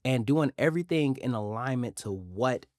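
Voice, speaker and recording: A man's voice: mid-range voice, lively intonation, moderate pace, a little mellow. An earnest man from the United States hosting a podcast, with a mid-range voice. He speaks emphatically and restarts phrases with repeated words. The sound is dry and close, with no room echo. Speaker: podcast host. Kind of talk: casual interview chat.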